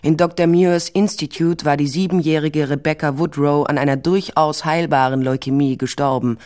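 A woman's voice: low-pitched, steady tone, moderate pace, a little mellow, close to an everyday voice. An actress playing a blunt private investigator who narrates her case with dry sarcasm in a crime radio drama. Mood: dry, sardonic. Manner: dry, sardonic.

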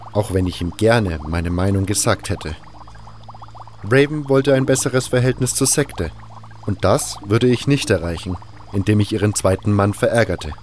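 A man's voice: on the low side, slight background noise, lightly acted. An actor playing a blunt, weary adventurer who narrates his own quest and speaks his lines in an audio drama. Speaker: narrator and protagonist. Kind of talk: scripted first-person audio drama narration and dialogue.

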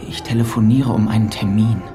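Actor as a soft, breathy dutiful middle-aged son. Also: male voice, fairly low pitch, steady tone, brisk, close to an everyday voice. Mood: encouraging. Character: patient, dutiful grown son of an old mother. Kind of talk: radio drama acting. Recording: loud music under voice. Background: music.

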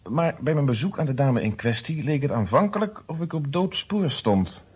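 An actor playing a male police inspector in a radio play, husky, formal and pointed, coolly interrogating with a sardonic edge. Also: a little high, animated, moderate pace. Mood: probing. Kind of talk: Dutch radio play dialogue. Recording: dull, lo-fi old radio recording.

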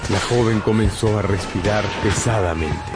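A man's voice: lowish voice, moderate pace. A man narrating a radio drama in a scripted, dramatic storytelling style. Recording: prominent music under the voice.

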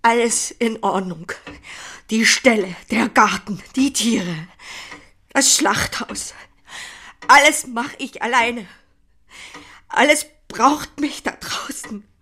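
An earthy woman farmer in a radio drama. Her voice is husky and fairly high, and she talks slowly in a blunt, plain way, like someone used to being alone. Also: bright, forceful tone, full character voice. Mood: hurt, upset. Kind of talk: naturalistic radio drama acting.

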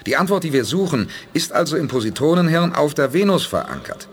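A calm, decisive starship commander. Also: male voice, fairly high pitch, breathy edge. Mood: steady. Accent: German. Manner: reasoning, measured, emphatic. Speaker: lead character. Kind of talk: 1980s German radio play acting.

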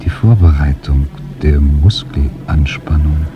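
An actor playing a tormented spaceman in a radio drama inner monologue, speaking in deliberate, halting phrases that at times sink to a whisper. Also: rumbling low. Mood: anguished, brooding. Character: tormented spaceman trapped in agonisingly slowed time. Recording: dull muffled sound with loud music.

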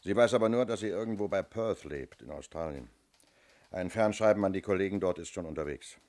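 An actor in a German radio play playing a methodical, sceptical middle-aged police inspector who questions in a clipped, probing way. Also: male voice, low voice, brisk, darkish tone, natural voice. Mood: businesslike.

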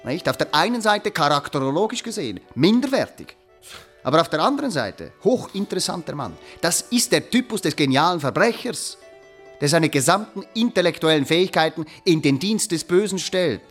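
An actor playing a self-important, evasive educated musician in a radio drama, pedantic and hesitant. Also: male voice, a little high, very animated, fairly quick, soft background music. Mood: lecturing, fascinated. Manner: pedantic, hesitant, long-winded. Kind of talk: naturalistic radio drama dialogue.

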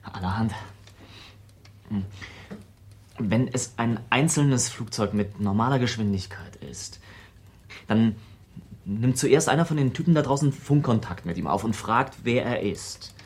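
A man's voice: mid-range voice, lively intonation, measured pace, long pauses, slight background noise, natural voice. An actor playing a confident male computer insider in a German radio play, explaining things matter-of-factly. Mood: matter-of-fact, assured. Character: Chris, confident young male computer insider showing a secret control room.

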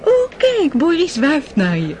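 An actress playing a worldly, scheming society countess with a honeyed, flattering manner. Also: female voice, high-pitched, unhurried, full character voice. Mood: coaxing. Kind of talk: radio play acting.

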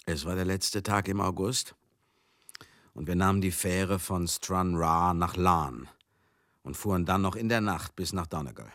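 An actor in a German radio drama monologue, playing a garrulous, rough-mouthed showbusiness man, chatty, theatrical and excitable. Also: low voice, a little slow, no echo, clean studio sound. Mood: reflective narration. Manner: chatty, theatrical, swinging into outbursts.

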